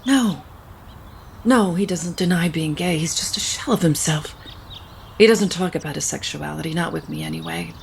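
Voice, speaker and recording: A woman's voice: sits low, big pitch swings, normal speed, gravelly, slight background noise, heavily acted. An actress playing a genteel, protective middle-aged mother who holds grudges, in an audio soap opera. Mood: sorrowful, intense.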